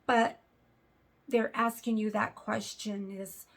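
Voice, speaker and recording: A young adult woman with a sore throat and a raspy voice, talking earnestly to camera in a casual vlog with lots of fillers. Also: mid-range voice, little pitch movement, slow, a bit bright. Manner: earnest, hesitant, many fillers. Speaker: vlogger.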